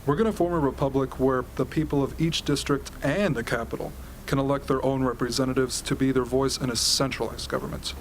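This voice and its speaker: A man's voice: mid-range voice, fairly even intonation, fairly quick, hardly any pauses, slight background noise, natural voice. A man playing a confident, persuasive political strategist in an amateur radio drama. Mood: assured, persuasive. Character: confident, smooth-talking male political strategist.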